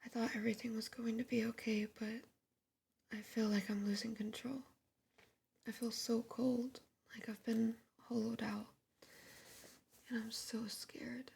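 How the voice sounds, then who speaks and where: A woman whispering the lines of a distraught, frightened young woman influencer, in a breathy voice and a rushed, pleading manner. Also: a little high, flat delivery, a little mellow, full character voice.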